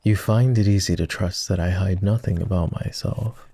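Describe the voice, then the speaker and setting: A man voicing a polite, self-deprecating old vampire in an intimate roleplay ASMR style, with formal, hesitant phrasing and a soft, husky delivery. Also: deep voice, fairly even intonation, normal speed, exaggerated voice. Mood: wry, teasing. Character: polite, self-deprecating, gently teasing ancient male vampire.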